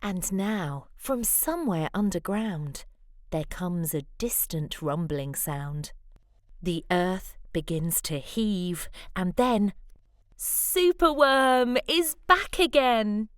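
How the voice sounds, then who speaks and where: Slow, lively woman storyteller. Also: medium pitch, no echo, studio quality. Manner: animated, deliberate phrasing. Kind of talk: expressive children's picture-book read-aloud.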